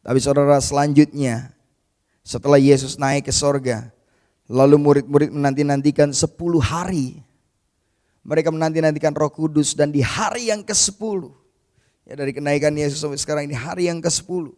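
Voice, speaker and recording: A man's voice: fairly high pitch, brisk, a little mellow. A male pastor preaching a sermon in a conversational way, often calling his listeners "brother" and acting out the people in the story. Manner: addresses listeners as "saudara", retells scripture with quoted voices; conversational and informal, with casual asides.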